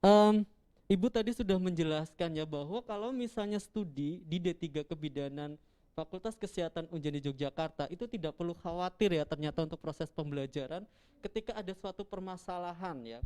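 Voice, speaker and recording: A man's voice: on the high side, fairly quick, mellow, smooth. A young man hosting a live-streamed campus talk show, chatty and joking, laughing often.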